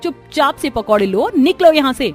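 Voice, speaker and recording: Spiteful young sister for a cartoon played by a woman: high, animated delivery, sneering and snappish. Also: moderate pace, faint music behind. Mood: scornful. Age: young adult. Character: haughty, spiteful fair-skinned young sister. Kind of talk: Hindi cartoon story acting.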